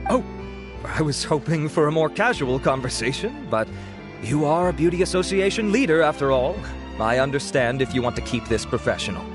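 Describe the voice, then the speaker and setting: An actor playing a suave, self-assured male researcher pitching a product in a polished, theatrical video game performance. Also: sing-song, brisk, over music. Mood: polite, humble. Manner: theatrical, self-satisfied, polished salesman delivery. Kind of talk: video game voice acting, promotional speech.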